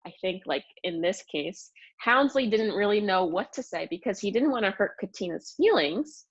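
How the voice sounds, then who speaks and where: A woman teacher giving an expressive read-aloud lesson to young children, explaining and asking questions as she goes. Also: mid-range voice, lo-fi sound.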